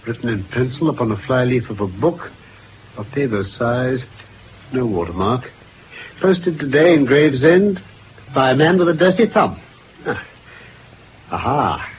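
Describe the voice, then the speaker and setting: An actor playing a shrewd, self-assured English detective in a 1950s radio drama, speaking precisely and theatrically with a British accent. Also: male voice, medium pitch, unhurried, long pauses, lightly acted. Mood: musing, assured. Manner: precise, theatrical, deductive pronouncing. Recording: noisy, narrow-band old radio recording.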